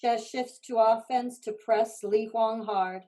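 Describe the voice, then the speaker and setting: A woman narrates the action of a staged play reading. Her voice sits slightly high as she reads scripted stage directions. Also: fairly even intonation, unhurried, dark tone.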